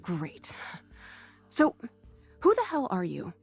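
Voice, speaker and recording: A woman's voice: lowish voice, huge pitch swings, takes it slowly, creaky, husky, no room sound, faint music behind, full character voice. An actress playing a teenage girl who has just lost her sight, stubborn and sarcastic. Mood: wry. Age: teens. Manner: sarcastic, restless. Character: teen girl newly blinded, stubborn.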